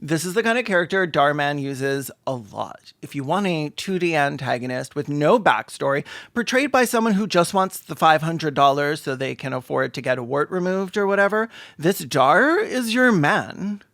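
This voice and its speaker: Sarcastic, campy commentator with animated pitch. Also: moderate pace, few pauses, no echo, clean studio sound. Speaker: video host and commentator. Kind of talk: comedic reaction commentary.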